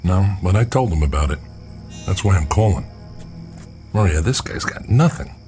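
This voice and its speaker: A skeptical investigator in a radio drama. His voice sits very low, and he talks with dry sarcasm and small hesitations. Faint music runs underneath. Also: lively intonation, normal speed, warm tone. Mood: frustrated, dismissive. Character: skeptical, wry male investigator writing a manuscript. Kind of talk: audio drama acting.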